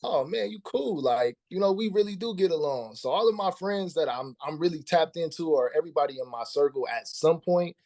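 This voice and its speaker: A man's voice: a little high, lively intonation, brisk, few pauses, darkish tone, no echo. A man in his thirties, a musician guest talking casually in an interview, laid-back and slangy, often repeating words as he starts a thought. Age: 30s.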